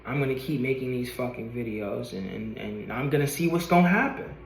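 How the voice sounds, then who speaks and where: A man's voice: medium pitch, a little fast, creaky, a little hiss or hum. Earnest swearing young man in echoey room. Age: 20s. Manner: earnest, rambling, swears casually, repeats himself. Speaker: vlogger. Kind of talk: motivational vlog talking to camera. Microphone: camera.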